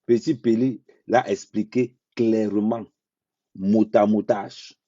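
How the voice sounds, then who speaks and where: A man with a low, very dark and husky voice talks bluntly and at a slow, deliberate pace, repeating his points to drive them home. The sound is dry and dull, like a lo-fi phone or webcam mic. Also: steady tone, dry recording. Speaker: social media commentator. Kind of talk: unscripted live monologue.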